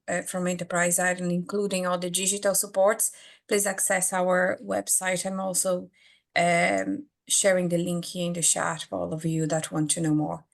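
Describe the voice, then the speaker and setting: A woman hosting a webinar, closing the session with polite, formal thanks over a video call. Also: fairly low pitch. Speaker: webinar host. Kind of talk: closing remarks.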